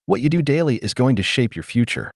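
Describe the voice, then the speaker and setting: Male narrator, average pitch, expressive rise and fall, instructive audiobook read, measured phrasing, very dry close recording. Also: no echo. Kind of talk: scripted self-help audiobook narration.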